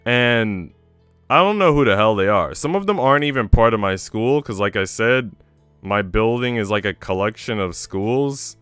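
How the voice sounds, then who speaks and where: A man's voice: fairly low pitch, animated, moderate pace, a bit bright, clear, resonant tone, dry recording, soft background music. A man chatting casually on a stream in a conversational, slangy way. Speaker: streamer, works at a school. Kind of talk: casual stream chat between visual novel readings.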